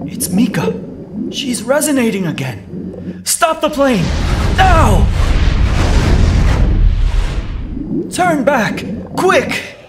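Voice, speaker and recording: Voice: high-pitched, very animated, slow, heavily acted. An actor playing a grave, commanding mission team leader in a video game. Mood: urgent alarm. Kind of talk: video game cutscene acting. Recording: clear background noise and ambience.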